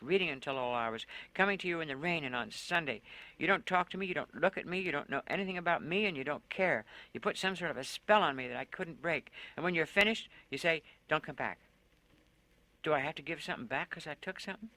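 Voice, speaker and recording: A man's voice: lively intonation, brisk, sharp tone, low-quality recording. A man voicing a desperate, lonely woman who pleads and raves with a rising voice. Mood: furious. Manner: raving, pleading, voice rising high.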